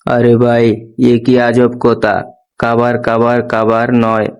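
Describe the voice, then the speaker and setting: A man's voice: medium pitch, monotone, slow, clear, resonant tone. A man voicing a poor, anxious woodcutter father who laments in a cartoon fable. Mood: despairing. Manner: lamenting, worried. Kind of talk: moral story cartoon dialogue.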